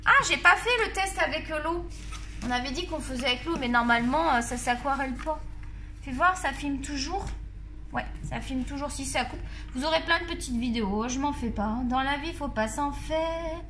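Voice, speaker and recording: A woman's voice: high voice, normal speed, bright, forceful tone, a little hiss or hum. A woman with a Marseille accent chatting casually and jokingly to her viewers while she tests colored pencils at her desk. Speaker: art vlogger reviewing colored pencils. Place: at a desk at home, face off camera.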